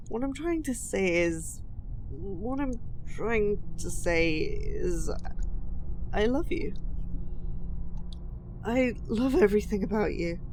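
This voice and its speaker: A woman acts out an obsessive, lovesick female kidnapper in an audio roleplay. She talks slowly and haltingly, nervous and apologetic as she addresses the listener. Clear noise sits behind her voice. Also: medium pitch, darkish tone. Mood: lovestruck, flustered. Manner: halting, hesitant, flustered and apologetic.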